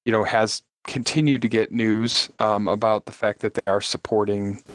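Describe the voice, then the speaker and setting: A man's voice: fairly low pitch, little pitch movement, normal speed, no echo. A man co-hosting a gaming stream in casual, rambling chat, often restarting and joking about himself. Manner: rambling, self-deprecating, repeats words. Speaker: stream co-host.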